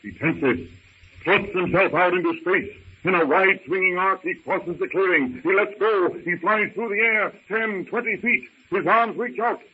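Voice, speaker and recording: A man's voice: sits high, a little slow. A man narrating in a dramatic 1930s radio style, with intense, suspenseful, clipped phrasing. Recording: tinny, hissy old radio recording.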